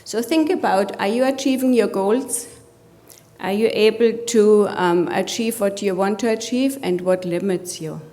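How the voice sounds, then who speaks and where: A woman with a German accent speaks from the podium at a conference, coaching her listeners on mindset. She keeps turning to the room with rhetorical questions, her pitch sitting in an ordinary middle range. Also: unhurried, warm tone. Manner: addresses audience with rhetorical questions. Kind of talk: motivational conference presentation.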